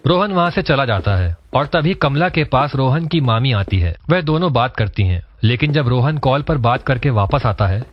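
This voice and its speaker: A man's voice: mid-range voice, animated, normal speed, few pauses, no room sound, muffled recording. A man narrating a scripted cartoon story as its storyteller.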